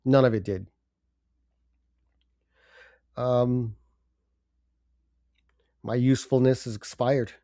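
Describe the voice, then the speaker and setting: Older male podcast host with a head cold, stuffy and low-key, dark mellow tone, dry close sound. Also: steady tone, no room sound. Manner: halting, subdued, with pauses and fillers. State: congested from a cold. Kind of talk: personal confessional monologue.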